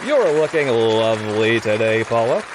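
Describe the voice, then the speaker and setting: A man's voice: lowish voice, big pitch swings, moderate pace, crowd noise, background noise. An actor playing a slick, smug TV game show host, showy, glib and teasing as he presents. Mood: showy, jovial.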